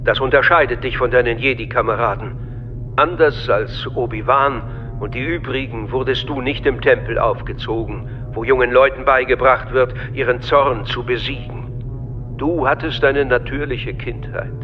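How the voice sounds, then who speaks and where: An actor playing a suave, scheming older male chancellor in a German radio drama, speaking in a measured, courtly, unctuous way. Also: mid-range voice, steady tone, lo-fi sound, soft background music, lightly acted. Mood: fatherly, soothing.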